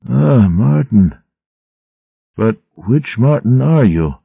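Frail old mouse abbot, slow and rambling, read by a man. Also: mid-range voice, animated, gravelly, husky, no room sound, narrow, tinny audio, voice pushed up, heavily acted. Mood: delirious. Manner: slow feeble wandering speech.

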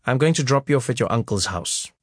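A man voicing a despairing grown man who speaks flatly and with resignation in a storybook reading. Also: mid-range voice, quick pace, breathy edge, dry recording, clean studio sound. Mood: resigned.

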